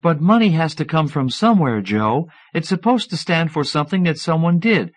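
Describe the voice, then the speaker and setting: Man putting on an airy, chiding woman, pitched up. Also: lively intonation, moderate pace, natural voice. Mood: questioning, chiding. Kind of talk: audiobook character dialogue.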